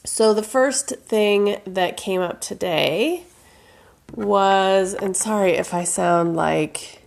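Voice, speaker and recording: A woman who teaches intuition talks casually to camera in an advice video. Her voice is hoarse and froggy from allergies, and she speaks loosely with fillers and restarts. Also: mid-range voice, slow, darkish tone. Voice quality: raspy.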